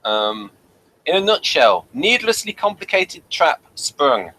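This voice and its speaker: A man's voice: a little high, big pitch swings, slow pace, bright, forceful tone, rough recording. A man hosting a casual review, partly reading notes aloud with wry, dry humour. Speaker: review show host.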